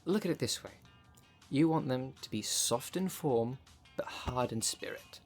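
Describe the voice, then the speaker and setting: A man's voice: medium pitch, animated, unhurried, darkish tone, soft background music, close to an everyday voice. A man playing a jaded, sardonic thirty-two-year-old witch in an ASMR roleplay, talking in a dry, sarcastic, conversational way. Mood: wry, dismissive. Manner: dry, sarcastic, sweary, sighing.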